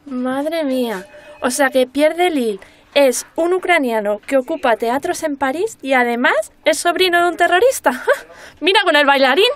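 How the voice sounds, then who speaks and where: Radio serial: inquisitive female reporter, excitable, colloquial, slightly high voice. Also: normal speed, faint music behind. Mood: excited, incredulous. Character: inquisitive, excitable woman journalist investigating a murder. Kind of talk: naturalistic Spanish radio drama dialogue.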